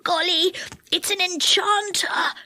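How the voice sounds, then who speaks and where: A woman's voice: very high voice, steady tone, slow, bright, forceful tone, voice pushed up, full character voice, rough recording. A woman voicing a male magical storybook character, earnest and emphatic, a plucky little bluffer. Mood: frightened. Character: bold, anxious male magical friend bluffing as enchanter.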